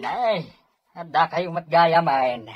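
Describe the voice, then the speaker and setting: A man playing a grumbling middle-aged village father in an Ilocano radio drama, with a rough voice and an Ilocano accent. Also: fairly high pitch, expressive, slow pace, highs cut off.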